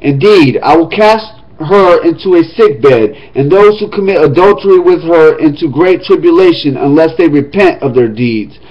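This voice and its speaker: A man reading scripture aloud in a steady voice, now and then breaking off into casual asides to his listeners. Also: fairly high pitch, normal speed, hardly any pauses. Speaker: scripture reader. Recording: dull lo-fi recording.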